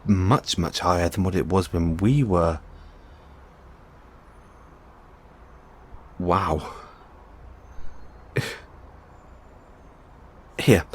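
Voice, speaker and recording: Man playing an excitable mad scientist, wildly swooping pitch. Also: breathy edge, heavily acted. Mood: uneasy wonder, then eager. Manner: theatrical, geeky, hesitant musing between outbursts. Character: excitable eccentric mad scientist boyfriend with time machine. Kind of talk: audio roleplay acting, addressed to listener.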